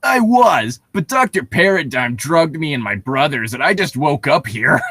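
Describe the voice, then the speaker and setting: Man playing excitable shark mutant, animated. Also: fairly high pitch, normal speed, breathy edge, dry recording, clean studio sound, exaggerated voice. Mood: earnest. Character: friendly, excitable male humanoid shark mutant.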